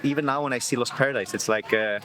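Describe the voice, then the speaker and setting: A man talking casually outdoors at a busy harbor, relaxed and halting with fillers. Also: mid-range voice. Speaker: interviewee on location. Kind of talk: casual on-location talk. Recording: outdoor street noise, traffic.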